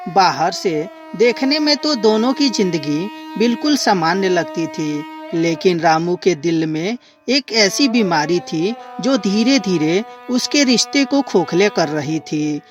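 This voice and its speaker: A woman's voice: lowish voice, expressive, slow pace, few pauses, lo-fi sound. A woman storyteller reading a scripted moral tale as narrator. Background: background music.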